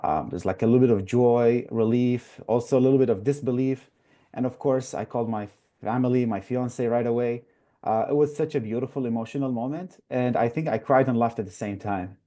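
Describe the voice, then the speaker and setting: A man's voice: medium pitch, a little fast, mellow. A young man from Iraq, a new resident doctor, answers interview questions earnestly, with frequent fillers. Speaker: guest, newly matched resident.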